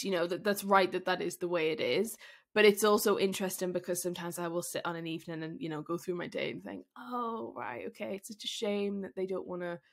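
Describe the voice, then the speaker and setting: A woman talks through her thoughts on a solo podcast, her voice croaky and husky because she has been ill. She sits a little low in pitch and speaks candidly, circling back with fillers and restarts as she works out each point. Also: a bit bright. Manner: candid, thinking aloud with frequent fillers and restarts. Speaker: podcast host.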